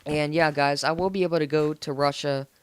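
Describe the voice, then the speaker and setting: Smooth-voiced, rambling American teenage guy. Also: a little high, fairly even intonation, fairly quick. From United States. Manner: rambling, informal, slangy. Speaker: gaming video host. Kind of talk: casual game commentary. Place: voice-over recorded indoors after gameplay.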